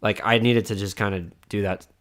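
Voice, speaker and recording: Man, podcast host, lowish voice, casual rambling chat, lots of fillers. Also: steady tone, brisk, a bit bright. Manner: rambling, many filler likes and restarts.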